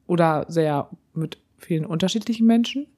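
A slightly hoarse woman co-hosting a podcast in casual chat, laughing often and rambling through restarts. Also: on the low side, moderate pace, warm tone. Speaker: podcast co-host.

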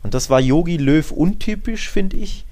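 A man's voice: fairly high pitch, expressive, normal speed, darkish tone. A man co-hosting a football podcast, chatting casually in an opinionated, emphatic and colloquial way, building his points through repetition.

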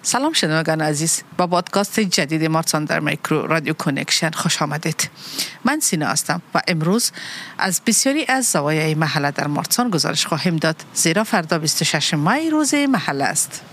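A woman co-hosting a community podcast, reading translations of the host's script at a brisk clip. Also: low voice, lively intonation, fairly bright tone.

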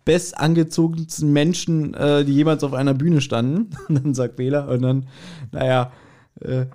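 A man's voice: on the high side, steady tone, moderate pace, dark tone. A man, a podcast co-host telling anecdotes in casual chat, amused and acting out short quotes.

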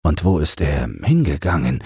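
A man voicing a calm, protective king in a fairy tale, measured and grave. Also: rumbling low, breathy edge, no echo, studio quality, full character voice. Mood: calm authority.

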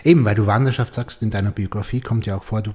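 Man, podcast host; low voice, probing and rambling questions, lots of backchannel murmurs. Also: steady tone, fast talker, a little mellow. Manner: probing questions, frequent "oder?" and "mhm" backchannels, repeats words. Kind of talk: conversational interview.

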